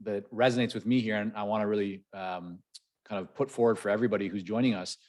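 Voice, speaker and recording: Low-voiced Canadian-raised male podcast host. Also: fast. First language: Hebrew. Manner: relaxed, repeats words while thinking. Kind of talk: conversational interview.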